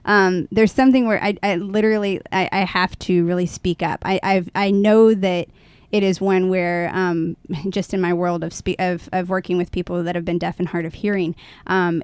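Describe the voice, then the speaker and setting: A woman co-hosting a podcast, talking in a thoughtful, self-reflective and conversational way, with hesitant pauses. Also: on the low side, darkish tone, smooth, no echo. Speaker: podcast co-host.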